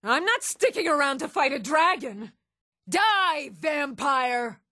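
An actress playing a steady, firm young woman in a fantasy video game, speaking with measured, earnest delivery. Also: high-pitched, no echo, clean studio sound, heavily acted. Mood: fierce, panicked. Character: even-toned young adult woman of a fantasy world, steady and stern.

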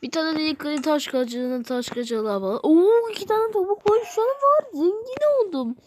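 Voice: extremely high pitch, expressive, normal speed. A video game YouTuber giving rambling, exclamatory let's play commentary, often repeating phrases and talking straight to viewers.

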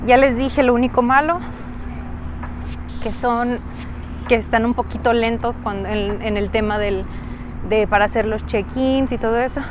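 A woman's voice: a little high, steady tone, normal speed, pauses often, noisy background. A woman from Mexico giving a casual, slangy walking tour and chatting informally to her viewers. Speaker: travel vlogger and host. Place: mostly outdoors, walking around an accommodation village.

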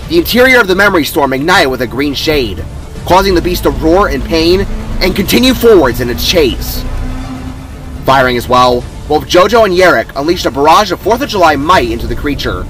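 A man narrates a fantasy adventure with emphatic drama. His voice sits a little high and moves in animated swings. Faint music plays behind him. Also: brisk. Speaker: narrator reading own story.

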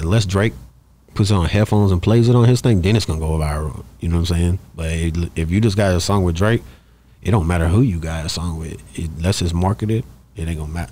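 A man's voice: low-pitched, mellow. A man, talking insistently and in slang as a podcast guest, repeating his points. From Dallas, United States. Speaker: guest, music artist. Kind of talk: casual podcast conversation. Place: small podcast studio in a shop. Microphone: close studio microphone on arm.

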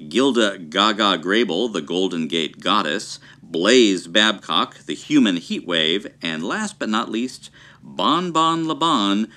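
Deep, bright-toned animated film scholar. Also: male voice. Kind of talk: scripted podcast discussion.

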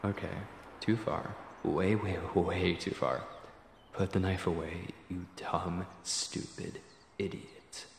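A man playing a nervous, lovestruck, obsessive young man in an intimate ASMR roleplay, stammering and muttering to himself in a breathy, husky voice. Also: sits low, steady tone, slow, slight background noise, heavily acted. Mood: self-scolding.

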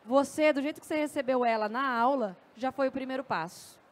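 High-voiced Brazilian woman speaking on stage. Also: brisk. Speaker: journalist and speaker. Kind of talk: conversational stage talk with anecdotes. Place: stage at an indoor festival. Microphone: handheld microphone.

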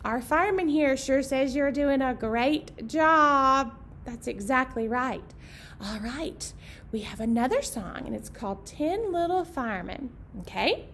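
A woman in her thirties speaks as a children's storytime host, encouraging and simple in her phrasing. Her voice sits high and swings widely in pitch at an unhurried pace. It is recorded indoors on a camera. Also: animated, a bit bright, slight background noise. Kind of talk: children's storytime talk, read-aloud. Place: indoor library program room.

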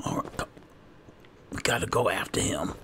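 A man's voice: sits low, expressive, moderate pace, noisy background. A man doing in-character gameplay commentary in sardonic, muttered asides. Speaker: gamer playing in character.

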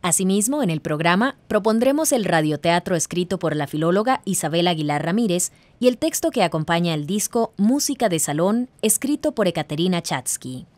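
A woman's voice: on the low side, lively intonation, fairly quick, hardly any pauses, a little mellow, a little hiss or hum. A woman presenting a programme in scripted narration.

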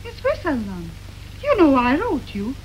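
An actress playing a chatty, hopeful young waitress arriving as a bride, talking in a folksy, ungrammatical way, in early 1930s film acting. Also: female voice, high-pitched, huge pitch swings, unhurried, exaggerated voice, poor audio. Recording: hissy early sound film.

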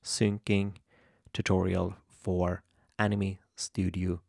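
A young man from Sweden with a Swedish accent, hesitantly walking through a software tutorial at his computer, with many ums and restarts. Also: low voice, flat delivery, slow pace, creaky, dry recording, clean studio sound. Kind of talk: screen-recorded software tutorial. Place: home room at a computer.

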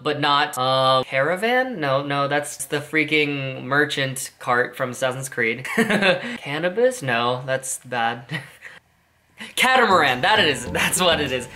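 A man's voice: a little high, sharp tone. A teenage boy vlogging casually from his bedroom, rambling and correcting himself as he goes. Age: teens. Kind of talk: casual vlog chat. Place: small bedroom with posters.

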